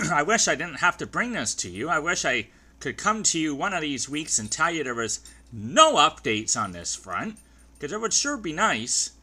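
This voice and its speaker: A man recapping hockey games in a casual, rambling vlog, with a dry throat that he keeps clearing. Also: mid-range voice, bright, forceful tone. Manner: chatty, rambling with many ums and restarts. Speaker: fan video host.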